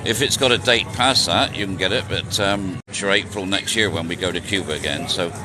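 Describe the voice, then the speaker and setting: A man's voice: sits low, a little fast. A man in his sixties chatting casually on a live stream as he rides through city streets, joking and answering viewer questions. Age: 60s. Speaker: vlogger. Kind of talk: casual live-stream chat while riding. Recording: noisy outdoor street recording. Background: traffic and street noise.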